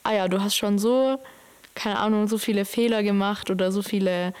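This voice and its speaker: A woman's voice: medium pitch, steady tone, normal speed, a little mellow, smooth. A woman in a casual chat, reflecting informally and asking questions as a conversation partner.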